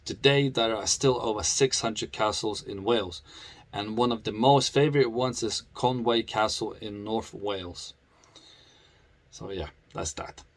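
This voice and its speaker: A man's voice: lowish voice, no room sound. Male motorcycle vlogger with a foreign accent, casual, laughs a lot, restarts sentences, dry sound. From grew up in the UK. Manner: chatty, laughs often, many restarts. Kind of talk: casual talk to camera.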